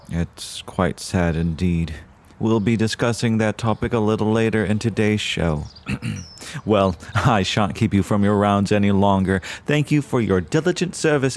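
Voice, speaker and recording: A man's voice: lowish voice, close to an everyday voice. A man playing a chipper, folksy, old-fashioned podcast host. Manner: folksy, genteel, old-fashioned flowery phrasing. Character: chipper, verbose small-town podcast host and dutiful son. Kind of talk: audio drama, mock radio-show narration.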